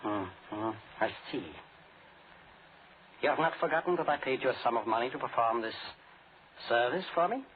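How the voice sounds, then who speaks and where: Male villain, acted: polite scheming doctor, precise and insinuating, medium pitch, hissy old radio sound. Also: expressive, moderate pace, pauses often, phone-quality sound, close to an everyday voice. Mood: cool menace. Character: suave, fussy criminal doctor, coolly courteous schemer. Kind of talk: 1940s radio thriller acting.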